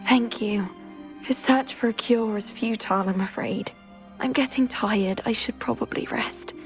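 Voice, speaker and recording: An actress playing a sad, weary teenage girl in a video game, speaking softly with resigned sighs. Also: fairly high pitch, measured pace, faint music behind. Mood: resigned, tired. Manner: soft, sighing, resigned. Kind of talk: video game dialogue acting.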